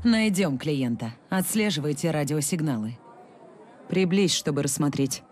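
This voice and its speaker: A woman's voice: sits low, animated, normal speed, darkish tone, soft background music. A woman voicing a commanding female special forces operative in a dubbed game, giving curt orders.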